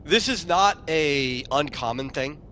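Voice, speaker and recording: Male game streamer, bright tense voice, casual chat, light background hiss. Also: on the high side, lively intonation, a little slow. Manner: chatty, repeats words when starting, answers chat. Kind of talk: casual commentary while playing a game.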